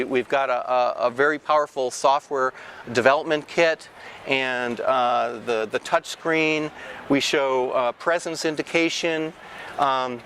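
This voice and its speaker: Halting male product demonstrator, noisy hall. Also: mid-range voice, a bit bright. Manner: halting, explanatory delivery with repeated false starts. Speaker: company representative demonstrating products. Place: large indoor exhibition hall booth. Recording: clear ambient trade show floor noise.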